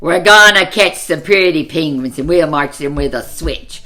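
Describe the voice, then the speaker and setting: A woman voicing a rough, greedy hunter with a growly voice and a menacing, chanting manner. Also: low voice, moderate pace, sharp tone. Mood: gleeful menacing chant.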